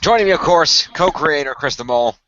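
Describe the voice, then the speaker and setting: A man's voice: fairly high pitch, animated, fairly quick, noisy background. A man hosting and narrating an amateur radio play in an informal podcast style. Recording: dry, dull lo-fi voice track.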